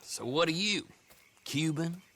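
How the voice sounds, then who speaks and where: An actor playing a shifty, folksy backwoods horse dealer, chatty and needling, in a video game. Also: male voice, on the high side, a little slow, sharp tone, a little hiss or hum. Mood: sly, suspicious. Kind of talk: dramatic video game acting.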